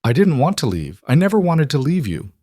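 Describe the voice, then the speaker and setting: A man reading an audiobook voices a gentle, earnest woman full of guilt, comforting a child. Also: on the high side, big pitch swings, a little fast, no echo, clean studio sound, voice pushed up. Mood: tender, sorrowful.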